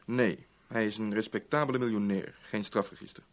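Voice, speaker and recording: An actor playing a sly, urbane chief inspector in a radio play, breezy and teasing in manner. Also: male voice, on the low side, fairly even intonation, fairly quick, natural voice. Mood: unruffled. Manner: breezy, teasing, evasive old-boy chattiness. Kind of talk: Dutch radio play acting.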